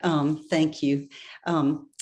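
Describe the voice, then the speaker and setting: A woman's voice: low-pitched, flat delivery, drawn-out words, darkish tone. A woman volunteer speaking earnestly on a video call, with frequent ums. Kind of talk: casual remarks on a video call.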